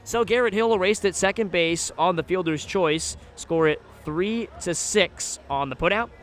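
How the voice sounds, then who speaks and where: A man doing live radio play-by-play commentary of a baseball game, describing the action in a measured way that rises when the play picks up. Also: sits high, fairly bright tone, faint music behind. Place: live at an outdoor ballpark.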